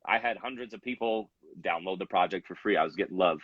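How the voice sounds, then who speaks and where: A man's voice: low voice, fast talker, fairly bright tone, husky, no room sound, muffled recording. A young man in his twenties, a rapper from Salt Lake City, chatting casually as an interview guest with lots of fillers and restarts. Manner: rambling, many fillers and restarts.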